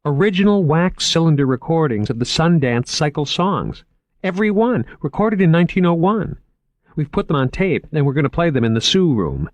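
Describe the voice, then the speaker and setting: A man reading a jumpy young curator who talks in a bright, high rush and goes up and down a lot. He is eager to please and stammers when flustered. The sound is dry and close. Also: normal speed, no echo. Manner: excitable, rising pitch, stammering when flustered. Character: eager nervous young male museum curator.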